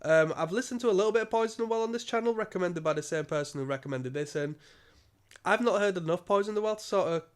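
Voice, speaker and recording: A man's voice: high voice, quick pace. A man with a cold, giving a rambling casual album review full of ums and restarts. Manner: rambling, many ums and restarts, occasional coughs. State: stuffy nose from a cold. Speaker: music reviewer.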